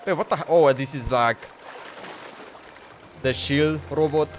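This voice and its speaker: A man's voice: on the high side, measured pace, a little hiss or hum. A man doing casual, slangy live commentary as he streams a video game. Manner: slangy, informal. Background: game music.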